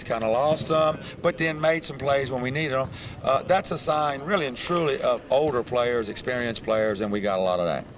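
Older man, basketball coach, halting speech, handheld mic, arena crowd behind. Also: mid-range voice. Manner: halting, many restarts and fillers. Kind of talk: post-game interview. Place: indoor basketball arena, courtside. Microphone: handheld reporter mic. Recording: crowd noise in a large arena.

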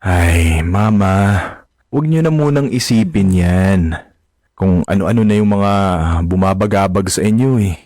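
A man's voice: low voice, a little slow, a little mellow, dry recording, clean studio sound. A man acting a caring, respectful young adult son in a radio drama. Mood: gentle, concerned.

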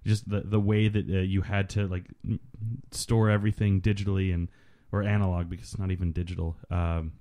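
A man's voice: deep voice, level tone, a little fast, dark tone. A man chatting casually on a film commentary podcast, explaining things in a rambling, geeky way.

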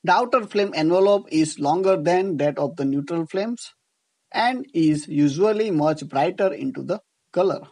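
A man's voice: a little high, moderate pace, a little mellow. A man with an Indian accent giving a scripted tutorial as an engineering teacher, explaining didactically and rephrasing his points. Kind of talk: scripted lecture narration over slides.

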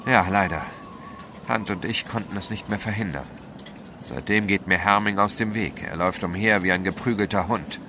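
An actor playing a resolute, blunt gentleman adventurer in an audio drama, grave and urgent in delivery. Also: deep voice, normal speed, background noise. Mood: grim. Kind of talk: German radio play acting. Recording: prominent music behind the dialogue.

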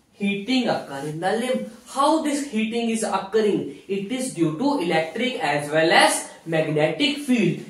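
Echoey, slow-paced young man lecturing. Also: very high voice, a bit bright. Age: young adult. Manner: repeats key phrases for emphasis, frequent "okay". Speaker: physiotherapy teacher. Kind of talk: classroom-style lecture at a whiteboard. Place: small bare indoor room with whiteboard. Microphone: camera mic a few steps away. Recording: very echoey room, distant microphone.

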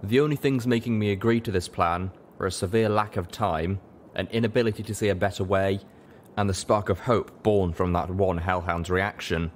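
Deep-voiced man reading a story. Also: fairly even intonation, moderate pace, a little hiss or hum. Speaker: audiobook reader. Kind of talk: scripted narration of fan fiction.

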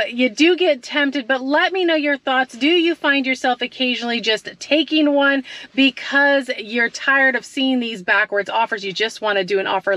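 Bright-voiced woman vlogging from her car. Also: high voice, no echo. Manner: chatty, asks viewers questions often. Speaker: delivery driver vlogger. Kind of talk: casual vlog talk to camera. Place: parked car at night. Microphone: camera mounted on dashboard.

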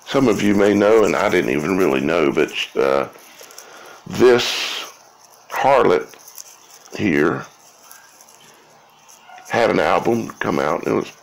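Slow, gravelly, deep-voiced man explaining. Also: lively intonation, low-quality recording. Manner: halting, repeats words, often checks with "okay?" and "right?". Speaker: video creator. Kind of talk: informal explanatory monologue.

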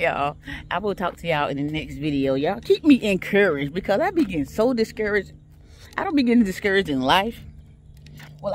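A woman's voice: lowish voice, a little hiss or hum. A woman chatting casually to her viewers while she walks on an outdoor track, laughing often and talking straight to them. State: walking outdoors, a bit tired. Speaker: vlogger.

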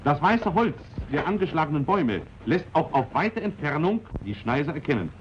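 A man giving formal, measured documentary narration for an old training film, on a 1940s film soundtrack. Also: on the high side, big pitch swings. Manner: measured, instructive delivery. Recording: dull 1940s film soundtrack with faint hiss.

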